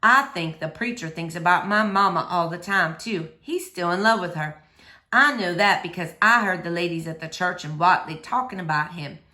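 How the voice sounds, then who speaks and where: A woman's voice: lowish voice, normal speed, pushed and bright, voice pushed down. A woman voicing an earnest, wistful ten-year-old girl who confides plainly and eagerly in a storybook reading. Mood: wistful, hushed.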